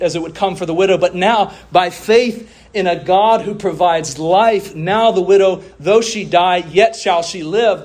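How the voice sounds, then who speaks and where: A man delivering a sermon as a preacher, with emphatic oratory, deliberate pauses, drawn-out phrases and rhetorical refrains. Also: high-pitched, a little mellow. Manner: rhetorical questions and repeated refrains.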